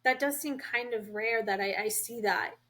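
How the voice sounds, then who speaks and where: Woman, podcast co-host, casual, inquisitive, somewhat high pitch. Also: normal speed. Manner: relaxed, asks questions, thinks aloud. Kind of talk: casual chat.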